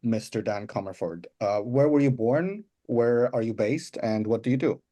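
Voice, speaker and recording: A man's voice: medium pitch, animated, normal speed, warm tone. A man hosting a chatty podcast interview, putting questions with a playfully formal touch.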